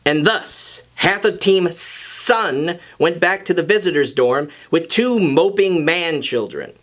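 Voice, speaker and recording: A man's voice: a little high, slow. A man reading fan fiction aloud as a host, narrating expressively and acting out the dialogue. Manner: expressive, acts out characters' dialogue. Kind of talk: dramatic story reading.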